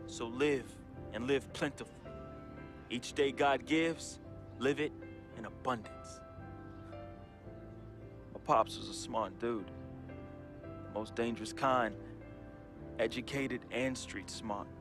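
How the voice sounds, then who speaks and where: An actor playing a troubled, grieving young man reading his farewell letter as a solemn, deliberate, confessional monologue. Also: mid-range voice, close to an everyday voice. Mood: wistful. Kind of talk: dramatic first-person monologue. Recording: voice-over with music underneath.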